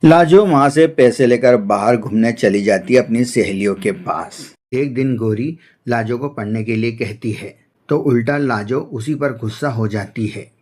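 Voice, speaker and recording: A man giving scripted narration for an animated children's story. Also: mid-range voice, a little slow.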